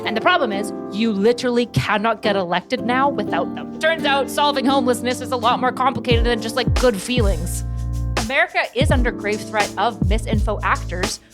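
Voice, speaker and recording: An animated young woman streamer reacting to clips in an easy, chatty way. Her voice sits a little high, she laughs often, swears now and then and drops into quick impressions of other people. Faint music plays behind her. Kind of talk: casual reaction stream chat.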